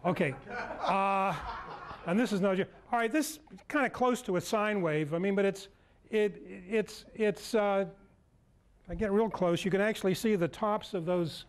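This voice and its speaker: A man in his sixties with an American accent, giving an informal, halting demonstration talk and thinking aloud as he presents in a meeting room. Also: high voice, clean tone. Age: 60s.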